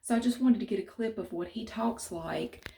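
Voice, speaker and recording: A woman's voice: mid-range voice, moderate pace, mellow. A middle-aged woman from central North Carolina with a Southern accent, chatting casually to camera with many restarts. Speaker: vlogger.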